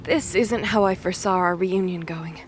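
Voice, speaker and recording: A woman's voice: on the low side, moderate pace, faint music behind, close to an everyday voice. A woman playing a stern, determined young warrior goddess in an audio drama, blunt and exasperated. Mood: shaken, resolved. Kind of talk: audio drama character acting.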